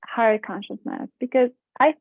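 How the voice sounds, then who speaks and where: A woman's voice: on the high side, normal speed, husky, no room sound, narrow, tinny audio. A woman from Romania hosting a podcast, talking in a hesitant, chatty way with many fillers and frequent laughs. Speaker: podcast host. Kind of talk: casual interview chat.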